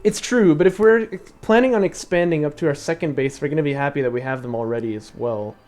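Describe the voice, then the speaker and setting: A young man streaming and explaining strategy in a casual, chatty way, thinking aloud with fillers and laughs; his voice is slightly raspy from long talking. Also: a little high, fast, a little mellow. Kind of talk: casual live-stream commentary.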